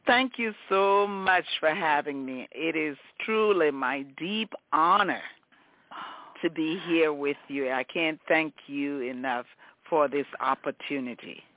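A woman from Liberia with a Liberian accent, telling her story graciously and in a measured way as an interview guest. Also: deep voice, no room sound. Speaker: podcast guest, nonprofit director. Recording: narrow phone-like band.

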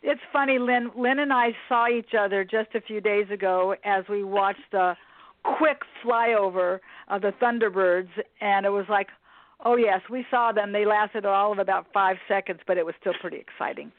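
A woman's voice: mid-range voice, fairly even intonation, normal speed, no room sound. A woman hosts a radio talk show, chatty and upbeat as she laughs along with her guests. Her voice sounds dry and close, squeezed through a narrow phone-like band. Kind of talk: friendly interview hosting.

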